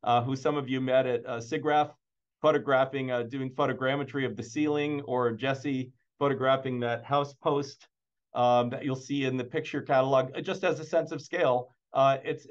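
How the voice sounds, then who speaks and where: A man's voice: mid-range voice, moderate pace. Man presenting over a dull video call. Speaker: presenter, museum imaging department staff. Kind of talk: informal slide presentation. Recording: dull, band-limited video call audio.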